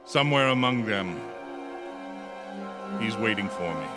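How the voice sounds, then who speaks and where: An actor playing a haunted, desperate traveling man in a radio drama, halting and prone to stammer. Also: lowish voice, expressive. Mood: haunted dread. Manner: halting, stammering when distressed. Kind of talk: radio drama acting, first-person narration and dialogue. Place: theatre stage. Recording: live theatre reverb, music underneath.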